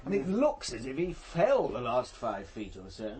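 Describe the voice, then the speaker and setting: An actor playing an overworked local police detective in a radio drama, with an informal, sardonic way of talking. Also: male voice, mid-range voice, huge pitch swings, moderate pace, a little mellow, a little hiss or hum. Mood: wry, chatty.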